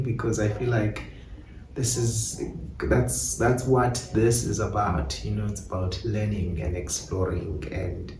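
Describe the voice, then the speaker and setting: South African man in a roomy, distant recording. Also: on the low side. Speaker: playwright and director being interviewed. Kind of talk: reflective interview talk. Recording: roomy, distant mic with faint noise.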